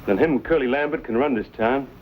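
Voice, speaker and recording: A man's voice: medium pitch, fast, muffled recording, slight background noise, lightly acted. An actor playing a proud, stubborn young frontier man in a Western drama, speaking in earnest, folksy words. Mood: resolute.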